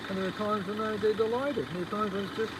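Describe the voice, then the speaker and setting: A man's voice: steady tone, fast. A man speaking as a police officer, asking casual questions and placating with frequent agreement. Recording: very noisy outdoors, engine rumble.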